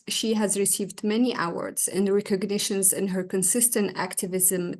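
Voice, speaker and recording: Moderator, woman from Morocco, formal, reads a prepared intro, mid pitch, video call. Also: darkish tone. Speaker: moderator and discussant. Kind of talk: webinar moderation.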